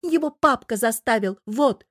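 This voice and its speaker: A woman's voice: sits high, very animated, brisk, darkish tone, no room sound, clean studio sound, voice pushed up. A woman voicing a hot-headed, stubborn young woman who scolds shrilly and combatively in a story reading. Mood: whining complaint.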